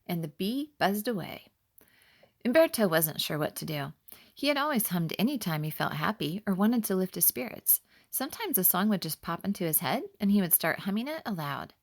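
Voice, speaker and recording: A woman narrating her own children's story in an expressive, clearly enunciated manner. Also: mid-range voice, moderate pace. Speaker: author reading own story.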